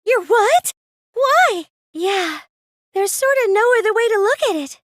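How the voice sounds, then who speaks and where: A very high, girlish voice for a plain and nerdy teenage girl in an anime mystery game. She sounds meek and mild, with a hesitant, questioning delivery. The sound is close and dry, with no room around it. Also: animated, a little slow, dry recording. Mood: puzzled, hesitant, then startled. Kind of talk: anime video game English dub acting.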